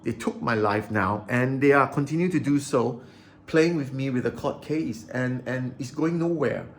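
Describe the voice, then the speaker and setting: A man's voice: medium pitch, moderate pace. Agitated man ranting with stammered restarts. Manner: agitated, repeats himself, many stammered restarts. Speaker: vlogger. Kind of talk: aggrieved personal rant to camera.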